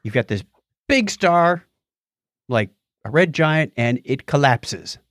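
A man's voice: mid-range voice, animated, takes it slowly, a bit bright, dry recording, clean studio sound. A man co-hosting a podcast, explaining news items in a casual, jokey, conversational way. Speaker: podcast co-host.

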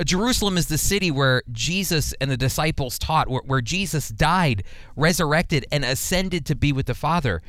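A man's voice: fairly high pitch, fairly bright tone, no room sound, clean studio sound. A man hosting a radio Bible teaching program, speaking conversationally and repeating phrases for emphasis.

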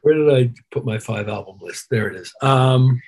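A man's voice: mid-range voice, normal speed, a little mellow, husky, low-quality recording. A man speaking as a musician guest in an interview, reflective and conversational, repeating phrases for emphasis. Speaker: interview guest, keyboard player.

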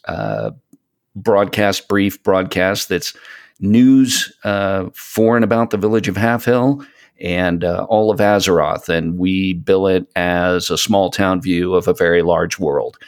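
A man in his late fifties with a low-pitched voice talks as a guest in a casual interview. He feels his way through sentences haltingly, wandering into asides as he describes himself. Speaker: podcast guest, fellow podcaster.

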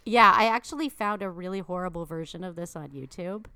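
A woman's voice: on the low side, a little fast, sharp tone, clear, resonant tone, dry recording. A woman co-hosting a podcast, reading a punny scripted intro and then chatting casually and self-mockingly. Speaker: podcast co-host.

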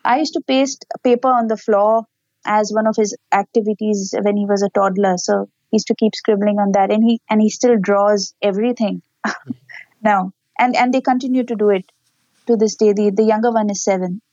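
A woman's voice: medium pitch, fairly even intonation, normal speed, darkish tone, no room sound, lo-fi sound. A woman, a parent giving earnest, explanatory answers in an interview. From Pakistan. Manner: earnest, explanatory, with small laughs. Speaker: interview guest, parent and educator.

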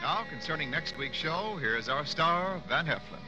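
A man's voice: fairly high pitch, lively intonation, moderate pace, rough recording. An American man reading scripted credits as a 1940s radio announcer. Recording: vintage radio broadcast with music. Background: music.

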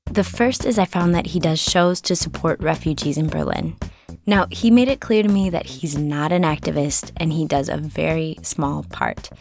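Female podcast host, lowish voice, even scripted narration. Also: normal speed, creaky, faint music behind.

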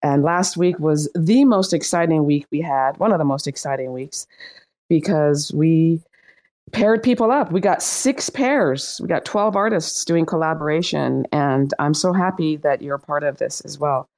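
A middle-aged woman hosts a casual online chat in a lively, talkative way and laughs easily. Her voice sits somewhat low. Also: normal speed. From Iran. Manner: lively and chatty, laughs often. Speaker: host. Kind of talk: casual chat hosting an online audio room.

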